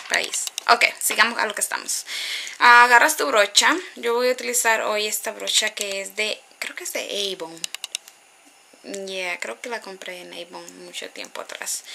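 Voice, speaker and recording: A woman's voice: mid-range voice, gravelly, pushed and bright, slight background noise. A woman in her thirties from Honduras, chatting casually through a makeup tutorial at a close webcam in a small bedroom, rambling with asides and dropping in English words. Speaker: beauty vlogger. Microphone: webcam at close range.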